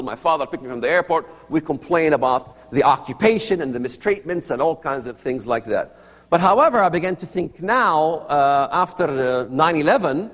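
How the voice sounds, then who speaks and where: A man's voice: on the high side, long reverb tail. A man telling his personal story to an audience, earnest and full of rhetorical questions. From Palestinian territories. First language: Arabic. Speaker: speaker giving testimony. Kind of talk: personal testimony talk to an audience.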